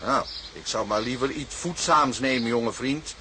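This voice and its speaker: An actor playing an older, thrifty sea captain in a radio drama, speaking in a measured, paternal way with hums and repeated murmurs. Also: male voice, medium pitch, sharp tone, a little hiss or hum. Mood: hospitable, frugal.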